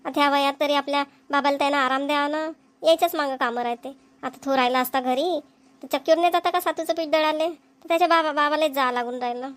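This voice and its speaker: A homely, dutiful village mother in a cartoon, played by a woman speaks patiently. Her voice sits high. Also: steady tone, fast, a bit bright. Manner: rural Marathi dialect, patient, agreeable. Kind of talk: cartoon voice acting.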